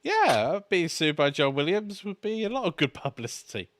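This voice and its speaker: A man's voice: a little high, very animated, normal speed, a bit bright. Easygoing man co-hosting live stream. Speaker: co-host. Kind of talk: casual live stream chat.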